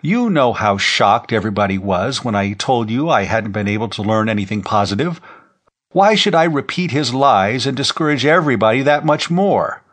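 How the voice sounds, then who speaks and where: A man's voice: mid-range voice, expressive, moderate pace, a bit bright. A man voicing a defiant young man in an audiobook, defending himself bluntly and insistently. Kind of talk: audiobook character voice.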